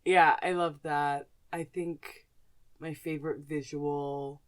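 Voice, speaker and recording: A woman's voice: sits low, steady tone, slow pace. A woman co-hosting a podcast, chatting casually and asking questions in a blunt, emphatic way. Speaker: podcast co-host. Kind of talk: casual, candid chat and interviewing.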